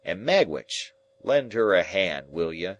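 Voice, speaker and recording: A man's voice: sits low, big pitch swings, measured pace, lo-fi sound. A man voicing a crafty, cowardly male swindler, scornful and blustering.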